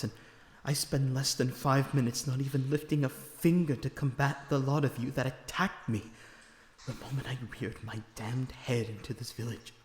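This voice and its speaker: A man playing a lonesome captive vampire in an audio roleplay, weary, bitter and sardonic, with measured dramatic phrasing. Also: medium pitch, lightly acted. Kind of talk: ASMR audio roleplay acting. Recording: echoey reverberant room with background noise.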